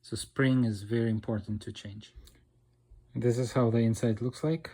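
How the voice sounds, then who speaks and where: A man's voice: mid-range voice, fairly even intonation, moderate pace, mellow, slightly rough. A man explaining a repair job informally as an instructor, checking in with the listener as he goes. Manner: explanatory, checks in with "okay" and "right". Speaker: DIY motorcycle repair instructor. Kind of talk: informal hands-on tutorial narration.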